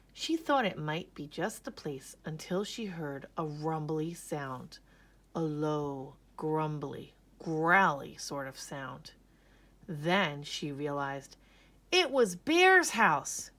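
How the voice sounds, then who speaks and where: A woman narrating a children's story aloud with expressive, dramatic emphasis. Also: low-pitched. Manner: slow, animated, dramatic emphasis.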